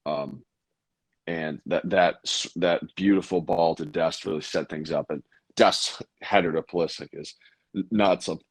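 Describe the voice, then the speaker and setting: Low, raspy young man hosting. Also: steady tone, unhurried, dry recording. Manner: rambling, lots of fillers and restarts. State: tired. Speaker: podcast host. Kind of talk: casual sports chat.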